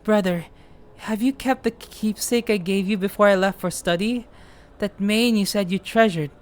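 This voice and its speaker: A woman voicing a creepy, obsessively loving younger brother, speaking slowly in a courtly, ominously affectionate way over music. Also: medium pitch, natural voice. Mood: eerily tender.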